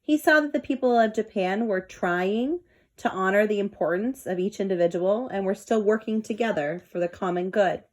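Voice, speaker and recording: A woman's voice: mid-range voice, darkish tone. A woman reading a children's book aloud, adding simple explanatory asides for young listeners. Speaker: read-aloud storyteller.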